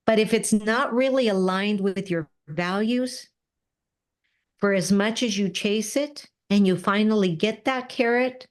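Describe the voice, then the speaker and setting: Middle-aged woman guest, slow and earnest, talks in loops with "right?" tags. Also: mid-range voice. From Mexican-American background. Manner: earnest, repeats words, frequent "right?" tags. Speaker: podcast guest, business coach. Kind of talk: conversational interview.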